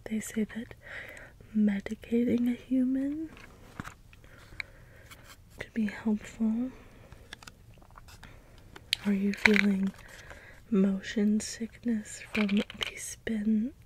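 A woman playing a gentle, puzzled caretaker robot in a soft, breathy ASMR role-play whisper, with halting, deliberate delivery. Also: a little high, fairly even intonation, slight background noise, low-quality recording. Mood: puzzled, caring. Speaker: ASMR performer. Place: small dim indoor space with cloth backdrop. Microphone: close ASMR microphone.

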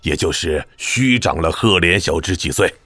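A man playing a genial but crafty older clan patriarch in a martial-arts audio drama, speaking with measured politeness. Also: deep voice, animated, a bit bright, no echo, heavily acted. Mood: genial, modest.

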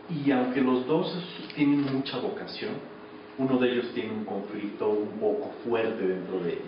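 Mexican man in a very echoey room. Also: mid-range voice. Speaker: radio drama actor being interviewed. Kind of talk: casual interview answers. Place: small office. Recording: echoey, distant, muffled, noisy camera audio.

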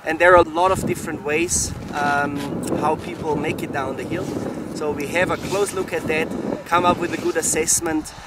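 A middle-aged man with a slightly high voice talks to camera as a coach and camp host. His delivery is halting and unscripted. Clear outdoor noise sits behind him on the open slope. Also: steady tone. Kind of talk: talk to camera, promotional recap. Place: outdoors on a snowy mountain slope. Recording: clearly noisy outdoor location sound.

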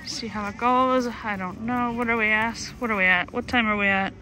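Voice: mid-range voice, fairly even intonation, moderate pace, bright, forceful tone, poor audio. A vlogger chatting casually and rambling along, irreverent and joking, often slipping into bits of song. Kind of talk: casual, rambling travel vlog chat.

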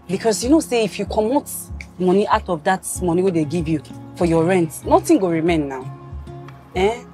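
An actress with a Nigerian Pidgin accent playing a blunt, talkative shopkeeper who keeps questioning, in a film drama. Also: female voice, on the low side, darkish tone, close to an everyday voice. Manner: questioning, chatty.

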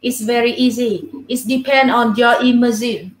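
A woman's voice: fairly high pitch, steady tone, normal speed, reverberant. A middle-aged woman with a Vietnamese accent, a guest puppeteer explaining her craft in simple, broken English from a room over a video call. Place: small indoor puppet room. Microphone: webcam at a little distance.

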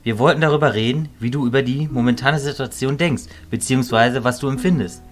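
A man playing a calm, reasoning mentor in a fantasy audio drama, measured, philosophical and reassuring. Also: mid-range voice, close to an everyday voice. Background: music.

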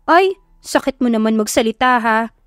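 A woman's voice: on the high side, expressive, moderate pace, no echo, clean studio sound, close to an everyday voice. A woman with a Filipino accent voicing a sassy, joking best friend with campy banter in an audio drama. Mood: mock offended. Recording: background music under the voice.

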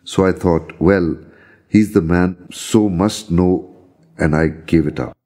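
Very deep, husky, mellow male narrator. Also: takes it slowly. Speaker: storyteller reading own account. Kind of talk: scripted narration of a visionary journey.

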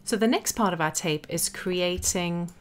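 A woman narrating a step-by-step software tutorial as an instructor, explaining each action as she goes. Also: fairly low pitch, measured pace. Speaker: graphic design tutorial instructor. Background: background music.

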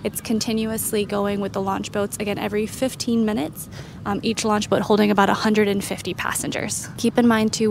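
Travel host, woman, gravelly and flat, practical guide delivery, faint rumble behind. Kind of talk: informative travel guide narration.

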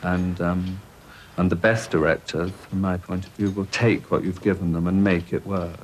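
A man's voice: low voice, level tone, moderate pace. A man in his thirties, a stage designer, talking casually in a documentary interview. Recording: clearly noisy theatre interview.

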